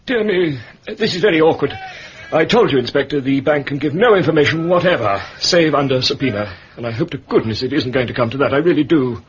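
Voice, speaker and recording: An actor playing a middle-aged English bank manager in a period radio drama, fussy, discreet and hesitantly formal. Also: male voice, fairly high pitch, animated, a little fast, natural voice. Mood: flustered, guarded. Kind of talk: British period detective radio drama acting. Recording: muffled radio recording, clear background noise.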